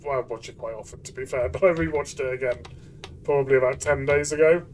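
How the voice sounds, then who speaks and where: A man's voice: medium pitch, fairly even intonation, quick pace, recorded from a distance, slight background noise. A man co-hosting a casual game review, talking conversationally and hesitantly, repeating phrases as he builds his point. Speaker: co-host of a game review show.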